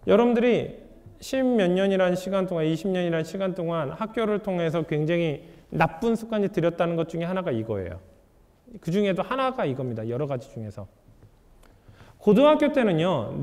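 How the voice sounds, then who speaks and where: A man giving an author's lecture to an audience, putting rhetorical questions to the room in a lively way. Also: high voice. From South Korea. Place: lecture hall. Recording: reverberant lecture hall.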